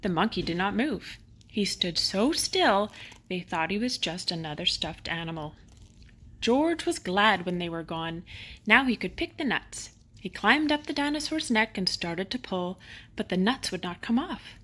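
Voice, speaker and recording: A woman narrating a children's bedtime picture book expressively, with dramatic emphasis on exciting moments. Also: mid-range voice, measured pace.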